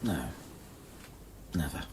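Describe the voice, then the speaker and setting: An actor playing a lovesick, anguished man in a radio play, intense and moving between murmurs and shouts. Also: takes it slowly, a little hiss or hum, lightly acted. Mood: intimate.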